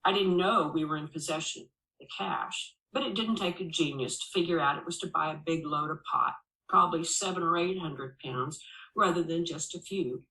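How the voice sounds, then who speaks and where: A woman reading a first-person memoir aloud as an audiobook, with expressive storytelling delivery. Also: deep voice, normal speed. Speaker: audiobook reader. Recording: echoey room.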